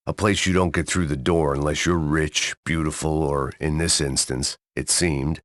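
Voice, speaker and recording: A world-weary middle-aged ex-cop who drinks hard and has gone to seed as a bodyguard. His voice is extremely low and recorded dry and close, in the manner of a noir video game. He sounds deadpan, sardonic and full of self-loathing. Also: moderate pace, no room sound. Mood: sardonic, brooding.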